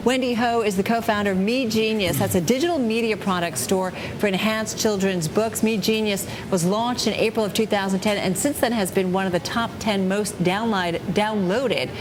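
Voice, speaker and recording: A woman's voice: normal speed, hardly any pauses. A woman in her forties with an American accent, hosting and interviewing on a TV news show. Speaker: TV news host. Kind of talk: fast broadcast interviewing. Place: open TV studio beside a newsroom. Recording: clear background rumble and noise.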